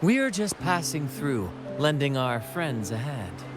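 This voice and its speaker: A man's voice: medium pitch, very animated, slow. A flamboyant carnival showman over music. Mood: showy confidence. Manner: breezy, showy, playful. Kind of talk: video game cutscene acting.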